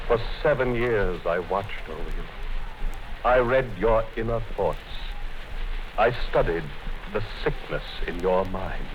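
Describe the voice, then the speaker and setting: An actor playing a calm, controlling male interrogator who speaks with slow, soothing menace in a 1950s radio drama. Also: low-pitched. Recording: lo-fi 1950s radio broadcast with hiss.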